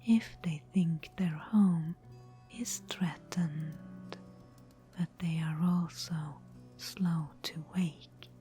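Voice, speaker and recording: A woman playing a mysterious, protective fantasy guide in a whispered ASMR roleplay, breathy, measured and solemn. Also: lowish voice, warm tone.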